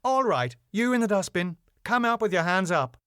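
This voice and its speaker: A man's voice: sits high, big pitch swings, normal speed, a bit bright, smooth, no room sound, clean studio sound, pitched above the performer's own voice. A man voicing a raging, officious woman counselor with an indignant, imperious manner, in a dramatic script reading. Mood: commanding.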